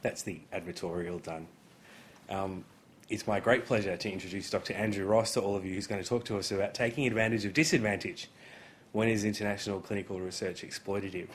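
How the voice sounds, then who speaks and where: Guy introducing the next speaker, kinda low voice, reading off notes, slight hiss. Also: fast talker. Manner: relaxed, reads with small hesitations. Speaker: seminar host.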